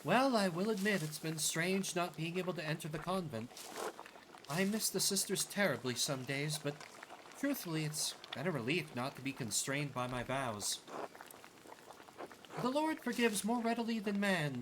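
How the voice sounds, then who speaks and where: A man plays a devout ex-nun from a story game, earnest and penitent. The voice is raised and very varied in melody, with measured, formal phrasing over clear background noise. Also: a little high, lively intonation, pitched above the performer's own voice, close to an everyday voice. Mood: solemn, penitent.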